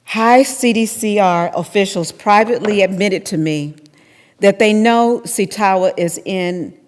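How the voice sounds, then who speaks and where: A woman's voice: medium pitch, measured pace, a little mellow, a little hiss or hum. A middle-aged woman speaking scripted lines aloud from a lectern. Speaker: reader in readers theater. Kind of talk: scripted staged reading. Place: large temple hall, at lectern. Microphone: microphone on stand. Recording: echoey hall.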